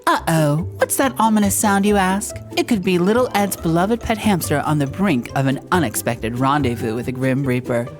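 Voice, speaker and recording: A woman's voice: low-pitched, moderate pace, hardly any pauses, natural voice. A woman playing a pushy commercial announcer in an exaggerated, theatrical and salesy radio advert style. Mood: salesy, dramatic. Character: bright-spirited pushy commercial announcer selling dubious products. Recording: music behind the voice.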